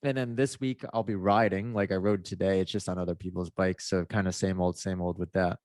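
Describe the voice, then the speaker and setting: A man's voice: deep voice, fairly even intonation, fairly quick, a little mellow, no echo, studio quality. A man chatting casually in a podcast, rambling and laid-back with lots of fillers, his nose a little stuffed up. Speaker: motocross racer.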